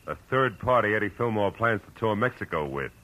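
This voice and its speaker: A man's voice: lowish voice, expressive, a little fast, bright, forceful tone. An American man playing a hard-boiled Los Angeles private detective in a radio drama, with clipped, commanding, world-weary speech. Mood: terse, wry. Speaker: lead actor and first-person narrator. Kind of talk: 1940s radio detective acting and narration. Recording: noisy old-time radio recording.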